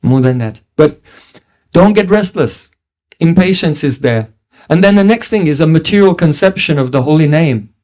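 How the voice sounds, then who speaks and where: A man's voice: on the high side, expressive, normal speed, darkish tone. A middle-aged man from New Zealand giving a devotional lecture, teaching earnestly with frequent restarts and chanted Sanskrit verses. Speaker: spiritual teacher.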